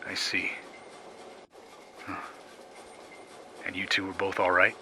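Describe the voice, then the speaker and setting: A man's voice: low voice, completely flat delivery, slow, vocal fry, pushed and bright, slight background noise, exaggerated voice. An actor playing a terse, guarded small-town lawman in a video game, speaking with restraint. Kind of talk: dramatic game cutscene acting.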